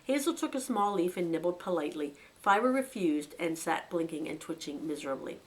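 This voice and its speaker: A woman reading a novel aloud for a local bookshop, with expressive narration. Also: fairly low pitch, fairly quick. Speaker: bookshop reader.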